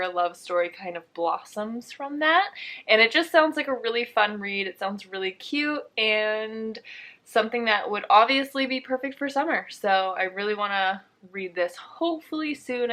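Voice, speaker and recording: Bright-voiced young woman chatting about books. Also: medium pitch. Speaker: book vlogger and host. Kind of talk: casual book chat with her sister.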